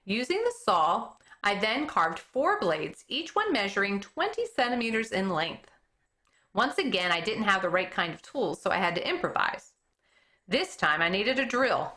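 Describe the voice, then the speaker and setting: Woman teacher, storybook read-aloud, bright tone, animated pitch, fixes her slips as she goes. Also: moderate pace. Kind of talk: reading a book chapter aloud.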